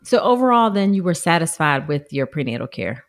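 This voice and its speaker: A woman's voice: low voice, very animated, a little fast. A woman hosting a podcast, a doctor who interviews guests and reads her own promos, often affirming with short replies. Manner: warm affirming interviewer with frequent okays. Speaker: podcast host, obstetrician.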